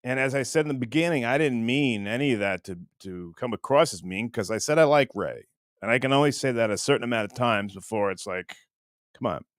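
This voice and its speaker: A man's voice: medium pitch, fairly quick, no echo, studio quality. A man hosting a livestream in casual chat, reading out comments and rambling in a self-deprecating way. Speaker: livestream host.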